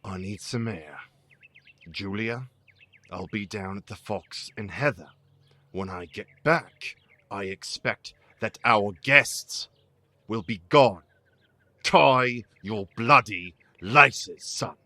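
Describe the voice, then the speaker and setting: A stern, short-tempered father in an audio drama, scolding and curt. The voice is low and gravelly, with slow, weighted delivery. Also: pushed and bright, dry recording, full character voice. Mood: cold anger. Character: stern, irritable father who dislikes magic.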